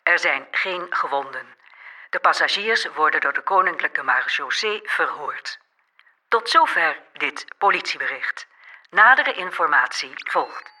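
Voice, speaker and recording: An actress playing a formal police dispatcher on a scanner radio in a Dutch radio play, measured, with a Netherlandic accent. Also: female voice, sits low, expressive, sharp tone, heavily acted. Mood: formal, factual. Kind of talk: formal scripted announcement.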